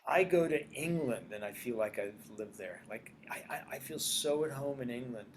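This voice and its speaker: A man's voice: medium pitch, moderate pace, slight background noise. Chatty older man raised in Australia. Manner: chatty, animated, repeats phrases. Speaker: guest, screenwriter and producer. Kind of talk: casual interview chat.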